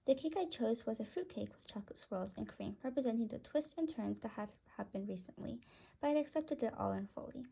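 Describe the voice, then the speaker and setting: A woman's voice: a little high, animated, a little fast, gravelly, warm tone, husky, poor audio. A woman narrating a scripted first-person story, reading it aloud.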